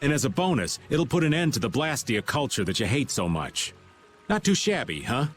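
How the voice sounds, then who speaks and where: A man's voice: medium pitch, big pitch swings, moderate pace, faint music behind, close to an everyday voice. A man playing a wry, theatrical middle-aged rogue in a video game, with a playful, folksy drawl. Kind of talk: English video game voice acting.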